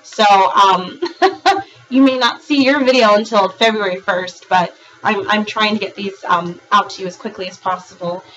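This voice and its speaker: A woman with a hoarse, raspy voice from a recent virus, giving a monthly tarot reading in a rambling way, with frequent ums and restarts. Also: mid-range voice, moderate pace, a bit bright, poor audio. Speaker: tarot reader.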